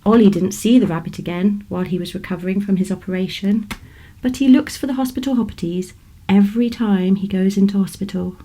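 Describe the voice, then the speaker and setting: A woman with a British accent reading a children's story aloud in an expressive storytelling manner. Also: medium pitch, brisk, low-quality recording. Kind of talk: scripted children's story narration.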